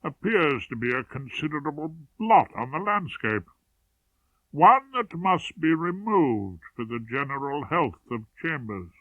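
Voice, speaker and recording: Tinny narrow audio, male reader as ageing English barrister, deadpan, pompous, world-weary, audiobook character. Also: fairly high pitch, unhurried, no room sound, distant mic. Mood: deadpan, dry. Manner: formal, deadpan, pompous turns of phrase. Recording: narrowband, tinny radio-like sound.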